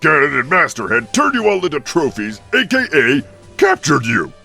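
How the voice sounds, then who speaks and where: A man's voice: on the high side, huge pitch swings, moderate pace, vocal fry, sharp tone, faint music behind, full character voice. A man voicing a big, cocky, bumbling male turtle monster villain in a cartoon game parody, full of blustering bravado. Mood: boastful, defensive.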